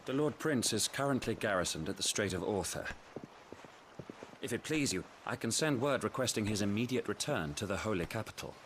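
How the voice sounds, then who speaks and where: A man plays a deferential attendant to a fantasy game ruler. He speaks formally in a fairly low voice, with clear noise in the background. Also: lively intonation, brisk, close to an everyday voice.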